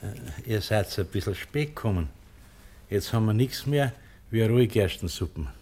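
Radio drama role: humble Bavarian lay brother, deep register, gentle and unassuming, endlessly patient. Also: male voice, expressive, normal speed, husky. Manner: mild, kindly, unassuming. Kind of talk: German radio drama acting.

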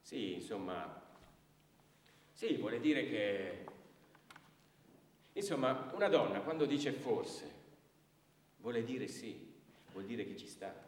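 A man's voice: mid-range voice, lively intonation, slow pace, long pauses, a little hiss or hum. An actor playing an insecure, bitter, crude working man in a stage monologue, agitated and repetitive as he justifies himself. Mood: smug, justifying. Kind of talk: theatrical monologue acting. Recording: echoey hall, distant microphone.

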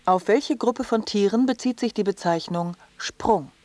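A woman's voice: medium pitch, unhurried, warm tone, lightly acted. A woman playing a formal test voice, dictating scripted instructions item by item. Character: slow, formal female test voice dictating questions.

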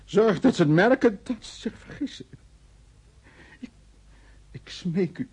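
A man's voice: high-pitched, lively intonation, takes it slowly, gravelly, darkish tone, husky, exaggerated voice. An actor playing a flustered traveller in a radio drama, stammering as he protests and pleads over a mistaken arrest. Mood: panicked despair. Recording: faintly noisy radio play recording.

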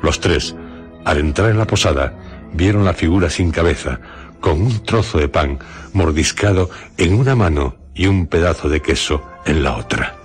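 A deep male narrator tells a scripted radio drama. His delivery is measured and literary, with faint music around the voice.

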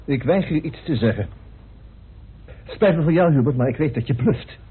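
An actor playing a defiant, scornful suspect in a radio murder mystery, challenging and dismissive. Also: male voice, mid-range voice, lively intonation, moderate pace, slightly rough. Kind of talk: radio play acting in Dutch. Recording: muffled old radio drama recording.

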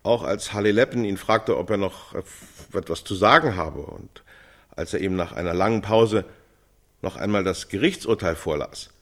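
An actor playing a grave, sober male eyewitness who gives halting, detailed testimony in a radio play. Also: sits low, fairly bright tone. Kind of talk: radio play monologue styled as witness testimony.

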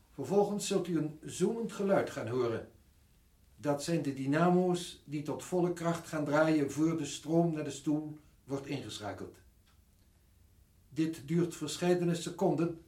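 A man's voice: a little high, dark tone. Stern, formal male prison director for radio drama, commanding, set back from the mic in an echoey space. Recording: echoey room, distant from the mic.

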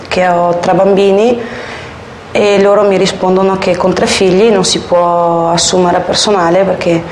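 Even-voiced woman in an echoey room. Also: on the low side. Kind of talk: personal testimony, plain spoken. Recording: roomy, faint background noise.

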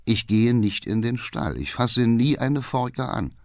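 A man's voice: medium pitch, lively intonation, slow pace, creaky, dry recording. A male narrator acts out a rebellious schoolboy in a dramatic audiobook. He sounds sullen, bitter and stubbornly defiant as he talks back, recorded dry and close. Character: sullen, defiant teenage schoolboy son rebelling against father.

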